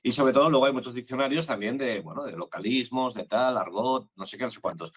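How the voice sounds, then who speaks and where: A man's voice: mid-range voice, quick pace, fairly bright tone, husky. A man interviewing a translator over a video call, asking loose, rambling questions full of fillers.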